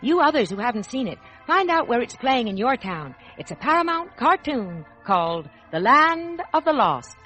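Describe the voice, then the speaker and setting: A woman's voice: a little high, very animated, normal speed, few pauses, a bit bright, soft background music, low-quality recording. An American woman hosting a scripted 1940s children's radio show, addressing children like a storyteller, on an old radio recording.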